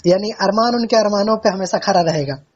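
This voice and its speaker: A man hosting a conversational phone interview, effusive and repeating himself, with a husky, high voice for a man. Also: a little fast, noisy background. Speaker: interviewer, radio-style host. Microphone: recorded phone call. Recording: dull, lo-fi phone call recording.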